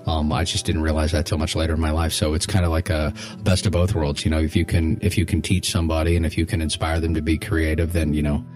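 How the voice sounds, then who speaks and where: A middle-aged man with a deep, low voice talks as a podcast host in an easy, conversational style. He rambles a little, doubling back on words mid-thought, with faint music playing underneath. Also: level tone, fast, few pauses, a little mellow. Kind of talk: casual podcast chat.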